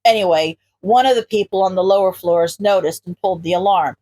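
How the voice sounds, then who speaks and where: Gruff male hero, acted by a woman, pitched down, curt, weary, grumbling, profane, audiobook character. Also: a little fast, a little mellow, dry recording, natural voice. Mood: grumbling, apologetic.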